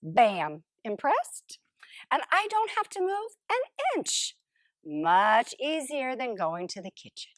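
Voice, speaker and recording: A woman voicing a smug, lazy couch potato in an expressive, theatrical storybook read-aloud. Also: high voice, dry recording, studio quality, voice pushed up, exaggerated voice. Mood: smug, boastful. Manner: theatrical, exclamatory, drawn-out emphasis. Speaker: storybook narrator character. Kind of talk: expressive first-person picture book read-aloud.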